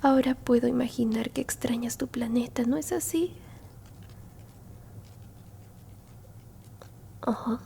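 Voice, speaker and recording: Woman as elderly alien grandma, ASMR roleplay, creaky breathy whisper, fairly high pitch, gentle, consoling, bookish, faint noise behind. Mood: gentle, wistful. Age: older. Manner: slow, soothing whispering with soft murmured hums and pauses. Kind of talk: Spanish-language ASMR roleplay, mostly whispered.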